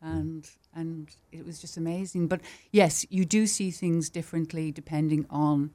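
A woman's voice: low voice, fairly even intonation, moderate pace. Chatty Irish woman in her fifties. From Ireland. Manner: chatty, laughs often, warm and enthusiastic. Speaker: art teacher and guest. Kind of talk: casual interview chat.

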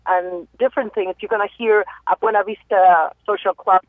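A woman's voice: mid-range voice, moderate pace, no room sound. A woman with a Peruvian Spanish accent, a musician guest talking playfully over the phone. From Peru. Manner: lively, playful, laughs easily. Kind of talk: phone interview. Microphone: telephone. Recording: tinny phone line.

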